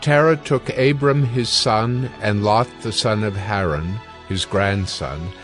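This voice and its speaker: A man reading scripture as a narrator, with formal, measured storytelling. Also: on the low side, a bit bright. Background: faint music.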